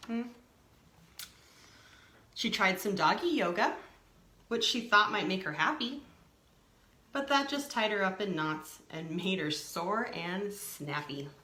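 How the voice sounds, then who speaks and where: A woman reading a picture book aloud to young children, expressively, pausing to add simple explanatory asides. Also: mid-range voice, lively intonation, sharp tone, echoey room. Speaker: storytime reader.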